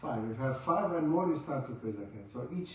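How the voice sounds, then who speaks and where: A man's voice: medium pitch, animated, quick pace, a little hiss or hum. A man teaching a religious class, quizzing his listeners and repeating key words with emphasis. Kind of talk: informal question-and-answer lesson. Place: mosque prayer hall. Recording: echoey hall, far from the mic, dull.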